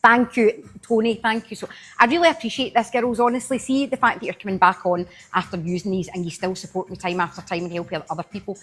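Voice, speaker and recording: A woman's voice: mid-range voice, little pitch movement, fast talker, fairly bright tone. A woman with a Glaswegian accent hosting a casual live-stream product demo, chatting and thanking viewers by name as she goes. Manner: chatty, answers viewers by name while demoing. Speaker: live-selling host.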